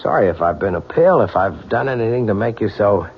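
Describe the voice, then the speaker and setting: A man playing an earnest, conciliatory husband in an old-time radio drama, speaking a little haltingly. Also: fairly low pitch, lively intonation. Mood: earnest, apologetic. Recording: muffled, hissy vintage radio recording.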